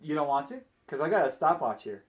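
A man's voice: a little high, sing-song, quick pace. A man hosting a podcast in a casual, conversational style. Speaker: podcast host.

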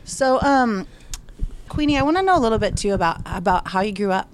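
A woman's voice: mid-range voice, animated, fairly quick. A woman interviewing guests, asking friendly, encouraging questions. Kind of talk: casual interview.

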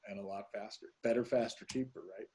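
A middle-aged man hosting a conversational business interview, with a husky, slightly dark voice. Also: on the low side, fairly even intonation, fast talker. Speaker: podcast host, interviewer.

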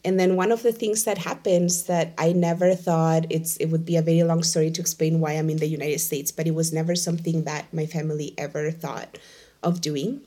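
Low-voiced woman from Venezuela. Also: level tone, mellow. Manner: reflective, many "like" fillers, quick bursts. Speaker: Spanish teacher, guest. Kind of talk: candid conversational interview.

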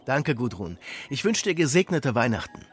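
An actor playing a kind, devout, practical man who speaks gently and reassuringly in a children's audio drama. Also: medium pitch, sing-song, moderate pace, slightly rough, soft background music. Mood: friendly. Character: kind, devout, practical male helper and friend.

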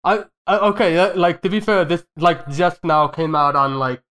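A man with a notably high voice co-hosts a movie podcast. He chats informally with his fellow hosts over a voice call. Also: steady tone, moderate pace, fairly bright tone, clean tone. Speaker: podcast co-host. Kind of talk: casual film podcast chat. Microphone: voice call recording.

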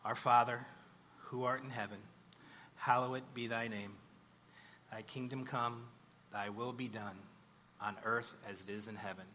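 A man's voice: mid-range voice, steady tone, measured pace, pauses often, pushed and bright. A man leading a congregation in spoken prayer, earnest and reverent, delivering it phrase by phrase. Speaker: church prayer leader. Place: church service. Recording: live church recording with background noise.

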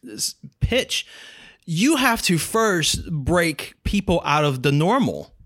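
A man hosting a conversational interview, speaking in a halting, affirming way. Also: fairly high pitch, very animated, a bit bright. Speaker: podcast host.

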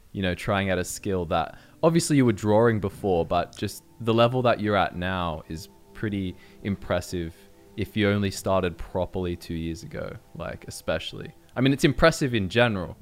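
A man's voice: low voice, smooth. A man in a casual conversation, speaking in an encouraging, talkative way. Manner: encouraging, quick and talkative when praising.

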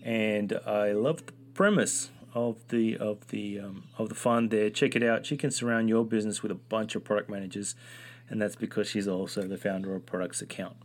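A man's voice: fairly low pitch, fairly even intonation, moderate pace. A man hosting an interview podcast, eagerly asking questions and often repeating words as he starts them. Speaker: podcast host.

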